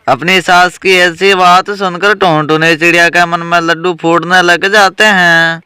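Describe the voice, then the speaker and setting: A woman's voice: lowish voice, little pitch movement, measured pace, pushed and bright, clear, resonant tone, no echo, studio quality. A woman narrating a scripted children's cartoon story.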